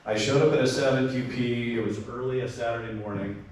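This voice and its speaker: A man's voice: medium pitch, steady tone, brisk. A man giving an informal amateur radio club presentation, chatty, with lots of fillers and restarts. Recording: far-off mic in a very echoey room with faint noise.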